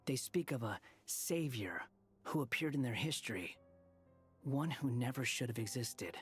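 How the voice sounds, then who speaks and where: A man's voice: medium pitch, animated, a little slow, a bit bright, husky, exaggerated voice. Aloof young man for a game, medium register, cynical explainer, faint music. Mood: grave, disdainful. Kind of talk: video game voice acting.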